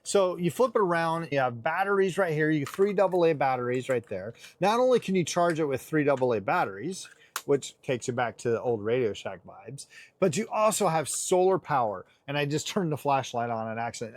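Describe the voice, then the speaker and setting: A man's voice: fairly high pitch, expressive, fairly quick. A man giving a casual, chatty product demonstration with geeky enthusiasm. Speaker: product reviewer.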